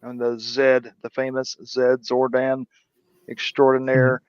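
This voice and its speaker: A man hosts a relaxed online chat, teasing his co-hosts and laughing easily. His voice sits in a mid range, and it comes through a dull, lo-fi stream with a dry sound and no room echo. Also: medium pitch, slow, smooth. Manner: joking, laughing, playful teasing. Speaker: host. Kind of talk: casual livestream chat. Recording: dry, dull lo-fi livestream audio.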